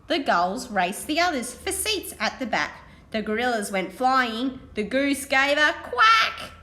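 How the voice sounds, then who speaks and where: A woman teacher giving a read-aloud lesson to young children, with expressive, deliberate delivery. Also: high voice. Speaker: primary school teacher.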